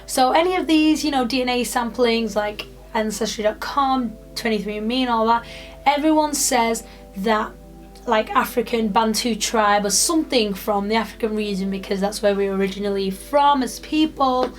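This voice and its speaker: British girl in her 20s rambling on her channel, lots of ums, soft music behind. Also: fairly high pitch, moderate pace. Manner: chatty, rambling, lots of fillers. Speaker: YouTuber. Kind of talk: casual vlog chat to camera.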